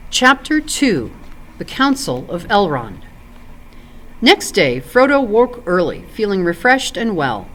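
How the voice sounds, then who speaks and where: Woman reading aloud with lively, varied intonation. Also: mid-range voice, slow, low-quality recording. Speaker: volunteer story reader and ESL teacher. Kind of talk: read-aloud storytelling for families.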